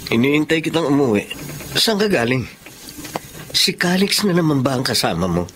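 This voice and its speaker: An actor playing a jealous, frustrated suitor in a radio drama, reproachful and insistent. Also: male voice, medium pitch, big pitch swings, normal speed, a bit bright, a little hiss or hum. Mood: jealous, accusing. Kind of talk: Tagalog radio drama acting.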